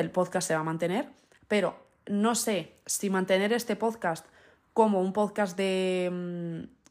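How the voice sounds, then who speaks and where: A young woman hosting her own podcast, thinking aloud in a casual monologue full of fillers and asides. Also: mid-range voice. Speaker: podcast host.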